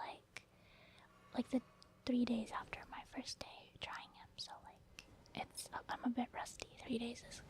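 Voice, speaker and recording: A woman giving soft ASMR commentary over video game play, informal and hesitant as she thinks aloud. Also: on the high side, slight background noise. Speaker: gaming ASMR video host.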